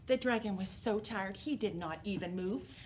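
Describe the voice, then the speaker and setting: A woman reading a picture book aloud to young children as a storyteller, animated and emphatic, drawing words out for drama. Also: mid-range voice, normal speed, very noisy. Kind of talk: expressive children's read-aloud.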